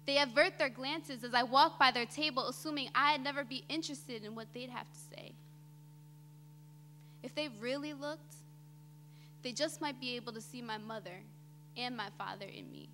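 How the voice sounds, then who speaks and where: A young woman delivers a theatrical monologue in a high-pitched, bright and forceful voice. Her phrasing is deliberate and dramatic, moving between emphatic swells and quieter drops. The sound rings with the long reverb of a hall. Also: expressive. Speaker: student monologue performer. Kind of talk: theatrical spoken-word monologue performed on stage. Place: indoor auditorium stage before an audience. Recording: reverberant hall, faint background noise.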